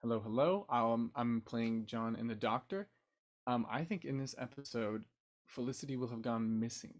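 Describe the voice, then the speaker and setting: A man's voice: medium pitch, normal speed, lo-fi sound. A young man chatting casually as a guest on an online panel.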